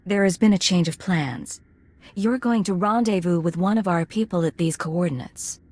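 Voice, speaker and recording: A woman playing a composed, professional Imperial agent in a video game, speaking in a measured, guarded, formal way. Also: on the low side, a little mellow, dry recording, natural voice.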